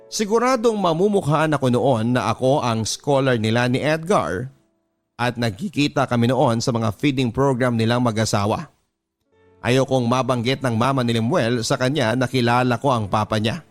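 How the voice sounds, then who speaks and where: A man with a Filipino accent reads a first-person story in a measured, confessional way, as a radio drama narrator. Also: medium pitch. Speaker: radio drama narrator reading a listener's letter. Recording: music bed under the voice. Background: background music.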